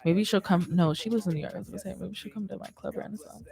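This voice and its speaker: A young woman with a mid-pitched voice hosts a laid-back hangout show. She talks informally and wanders from thought to thought, close on a studio microphone. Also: steady tone, rapid-fire, vocal fry, dark tone. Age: young adult. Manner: informal, rambling, uses slang and "like". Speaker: radio show host. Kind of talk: casual podcast chat. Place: indoor studio with curtains. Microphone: close studio microphone on boom arm, headset on.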